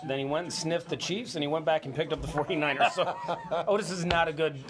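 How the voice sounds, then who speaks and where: An American man chatting casually as a show co-host, jokey and quick to chuckle. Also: a little high, crowd noise. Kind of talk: casual banter on a talk show.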